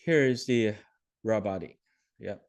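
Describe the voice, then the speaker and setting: A man hosting a webinar, talking a live software demo through with many ums and self-corrections, often checking in with his listeners over a video call. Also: fairly low pitch, measured pace. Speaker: webinar host.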